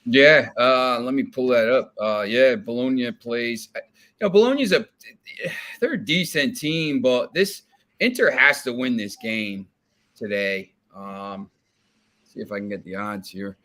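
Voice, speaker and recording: A man's voice: medium pitch, measured pace, fairly bright tone. A man, chatting casually about soccer bets, rambling with restarts and fillers. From New Jersey, United States. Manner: rambling, repeats words, many fillers. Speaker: soccer betting guest. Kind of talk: casual betting chat.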